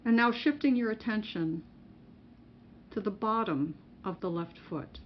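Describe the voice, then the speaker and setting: Woman leading a guided meditation, really slow and measured, bit muffled w faint noise behind. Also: mid-range voice. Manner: measured, instructional, pausing between short phrases. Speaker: mindfulness meditation teacher.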